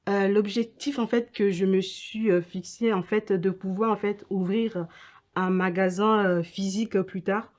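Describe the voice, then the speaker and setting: A young woman from Ghana and Togo giving halting interview answers as an entrepreneur, often repeating her words. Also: mid-range voice, fairly even intonation, a little mellow, a little hiss or hum. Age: young adult. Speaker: entrepreneur and student being interviewed. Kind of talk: interview answers about own business.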